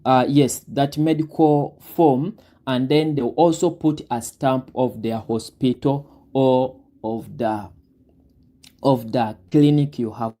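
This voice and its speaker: Ugandan guy, young, warm low-key voice, talks slow and careful, lots of uhs. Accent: Ugandan English. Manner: deliberate, breaks words down slowly with many fillers. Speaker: guest, army recruit giving advice. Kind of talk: advice talk on a live Q&A.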